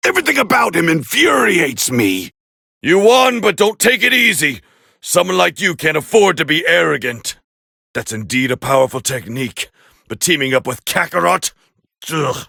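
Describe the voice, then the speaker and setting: A man playing a proud, hot-tempered alien warrior prince with a raspy voice, calling fights with scorn in an anime video game dub. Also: a little high, dry recording, clean studio sound, full character voice. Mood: shouting, incensed, then scornful, appraising. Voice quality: gravelly, raspy. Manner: haughty, scornful, biting, forceful. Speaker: fight commentator character.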